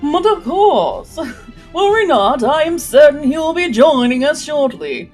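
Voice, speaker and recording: A woman voicing a smug, scheming male pirate count in a storybook, with a nasal tone and courteous, drawn-out flourishes, over music. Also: high voice, expressive, darkish tone, voice pushed up. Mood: oily, reassuring. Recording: music behind the voice.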